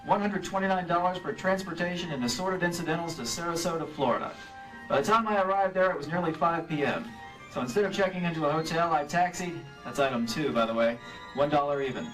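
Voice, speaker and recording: An actor playing a weary, wisecracking insurance investigator in a 1950s-style radio play, recorded live on stage from a distance. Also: male voice, on the high side, close to an everyday voice. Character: weary wisecracking freelance male insurance investigator, narrating his case. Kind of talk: 1950s radio detective acting and narration. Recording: live convention stage, picked up from afar.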